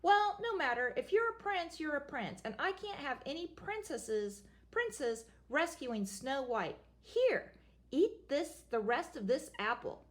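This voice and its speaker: A woman doing a shrill scheming old witch. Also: sing-song, a little slow. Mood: sly. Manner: high, sly and wheedling. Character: cackling greedy old female witch.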